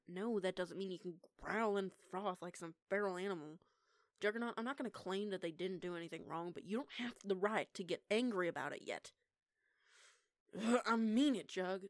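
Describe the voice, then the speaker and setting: A woman's voice: mid-range voice, no echo, clean studio sound, lightly acted. A young woman playing a defiant eighteen-year-old punk lone-wolf werewolf in an audio roleplay, with a casual, sweary, sarcastic manner. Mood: irritated, defensive. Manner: sweary, casual, sarcastic, halting when flustered. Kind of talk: intimate one-sided audio roleplay acting.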